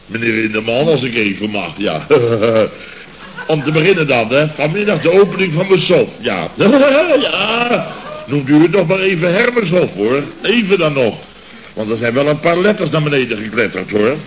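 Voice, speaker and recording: An actor playing a blustering, sarcastic construction boss in a radio comedy serial, repeating himself in exasperation, with a Netherlandic Dutch accent. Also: male voice, a little high, very animated, normal speed. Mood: sarcastic, agitated. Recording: dull, noisy old radio recording.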